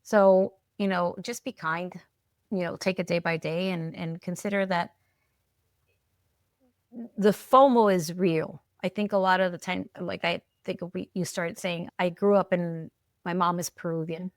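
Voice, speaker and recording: A woman's voice: fairly low pitch, fairly even intonation, no room sound, studio quality. A middle-aged woman chatting casually as a podcast guest, restarting phrases often and checking in with little tags as she reflects. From Peru, moved there at ten. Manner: halting, repeats words, often checks in with "right?" and "you know". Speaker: podcast guest, alcohol-free coach. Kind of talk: casual, reflective interview talk.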